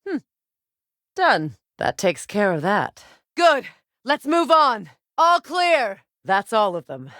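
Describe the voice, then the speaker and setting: A woman's voice: on the high side, very animated, takes it slowly, a bit bright, no echo, exaggerated voice. An actress playing a fierce, commanding warrior woman archer, delivering combat callouts for a video game. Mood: calm, dry. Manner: commanding, urgent battle callouts with dry asides.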